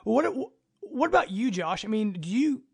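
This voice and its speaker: High-pitched, creaky-voiced male podcast host. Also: sing-song, moderate pace. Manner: enthusiastic, informal. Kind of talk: casual gaming podcast chat.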